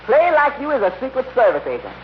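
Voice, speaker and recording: A slick, crooked lawyer in a vintage radio comedy. His voice is extremely high and swoops around in folksy, stammering patter. The old recording is muffled. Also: huge pitch swings, quick pace, slight background noise, full character voice. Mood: scheming. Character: slick, shady fast-talking male lawyer, cheerfully crooked.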